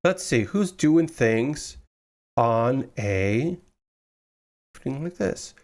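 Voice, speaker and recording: A man streaming live from a small room at home, chatting casually and rambling while he builds, with a loud booming voice. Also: expressive, drawn-out words. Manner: rambling, chatty, restarts often.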